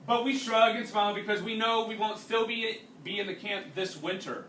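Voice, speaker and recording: Male teacher, classroom read-aloud, bright forceful voice, instructive asides, distant echoey recording. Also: high-pitched, normal speed. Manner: instructive, checking understanding with questions. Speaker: teacher reading a storybook to a class. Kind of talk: read-aloud with explanatory asides and questions to pupils. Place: indoors, classroom. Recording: roomy, distant mic, faint background noise.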